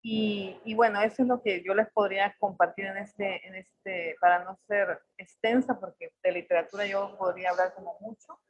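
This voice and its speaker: A woman in her forties from El Salvador, with a Salvadoran accent tinged with Mexican, speaking as a poet on a video call. She talks thoughtfully, searching for words and filling pauses often. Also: medium pitch, fairly even intonation, breathy edge, highs cut off. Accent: Salvadoran Spanish mixed with Mexican. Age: 40s. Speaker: poet on a panel. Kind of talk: reflective personal talk.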